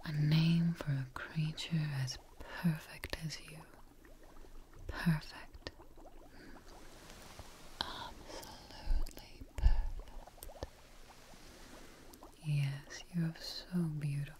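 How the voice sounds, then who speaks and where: A young adult woman whispering softly and reassuringly in an ASMR roleplay, recorded close on a studio mic in a small dark room. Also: sits low, little pitch movement, extremely slow, pauses often. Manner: soft whispering, gently reassuring. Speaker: ASMR creator.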